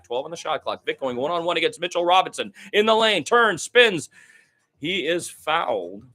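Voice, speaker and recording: A man's voice: medium pitch, bright, forceful tone. Man calling live basketball play-by-play. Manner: detailed running description with short exclamations and opinionated asides. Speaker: radio play-by-play announcer.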